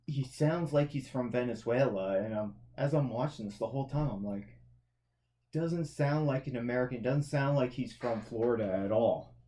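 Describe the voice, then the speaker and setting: A man's voice: mid-range voice, normal speed, mellow. A man from Canada, co-hosting a movie and TV review podcast in a casual, rambling way, often restarting his sentences. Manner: rambling, self-correcting, chatty with frequent restarts.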